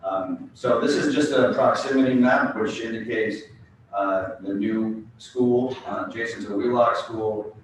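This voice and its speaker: A man with a lowish voice presents at a public forum and works through his slides in a measured way. He is recorded off-mic, so his voice rings around a very echoey meeting hall, with faint room hum underneath. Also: far from the mic. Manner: measured, reads slides and fields interruptions. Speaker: school building committee presenter.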